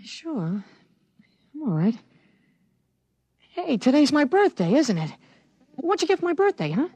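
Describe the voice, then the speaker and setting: A woman's voice: high voice, big pitch swings, a little slow, warm tone, dry recording. A woman voicing a carefree, puzzled thirteen-year-old boy with a boyish, guileless manner in a 1950s radio drama.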